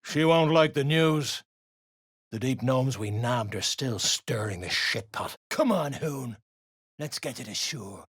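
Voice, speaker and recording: An actor voicing a gruff, surly dwarven raider guard in a video game, coarse and slangy, grumbling and barking orders. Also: medium pitch, animated, slow pace, no echo, studio quality. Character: gruff, surly duergar raider guard, rough-tongued underling. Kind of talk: video game character voice lines.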